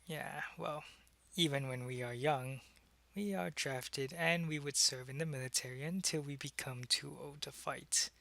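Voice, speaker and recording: A man playing a secretive, soldierly alien changeling in an ASMR roleplay, speaking to the listener in a halting, conversational way. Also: a little high, creaky, bright, forceful tone, no room sound, exaggerated voice. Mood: matter-of-fact. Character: alien changeling spy posing as human, loyal, secretive, soldierly.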